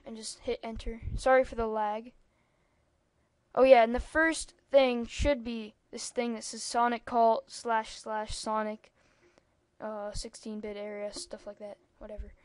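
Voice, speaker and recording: A woman's voice: fairly high pitch, flat delivery, unhurried, clear, resonant tone, no room sound. A young-sounding female speaker giving a rambling, casual computer tutorial over a screen recording, with lots of ums and asides. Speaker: tutorial maker.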